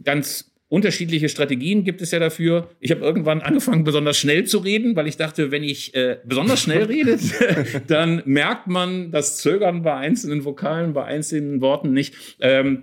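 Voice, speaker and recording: A man's voice: a little high, moderate pace. A middle-aged man co-hosting a casual football discussion, explaining things earnestly and at length. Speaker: podcast co-host.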